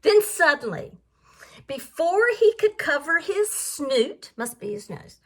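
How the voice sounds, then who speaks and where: A woman reading a picture book aloud like a teacher, in an expressive sing-song way, pausing to question and explain words to young listeners. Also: sits high.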